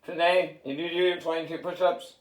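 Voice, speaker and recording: A man from San Diego with a rough, worn voice, rambling through a half-sung freestyle and chatty, swearing talk at home. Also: on the high side, steady tone, a little fast, reverberant, low-quality recording. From San Diego, California. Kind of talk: improvised singing and casual vlog talk.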